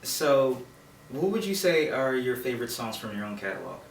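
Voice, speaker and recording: A man's voice: medium pitch, big pitch swings, normal speed, creaky. A man interviewing someone in a casual, agreeable, informal way, feeling his way through his questions. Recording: roomy echo, distant mic, faint noise.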